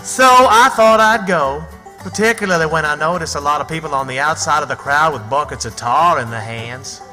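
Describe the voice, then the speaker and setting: A man's voice: fairly high pitch, moderate pace, bright, forceful tone. An actor playing a plucky runaway country boy with an American Southern accent, folksy and colloquial, in a radio play. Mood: wry storytelling. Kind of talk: old-time radio theatre, first-person storytelling. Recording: reverberant sound with faint music.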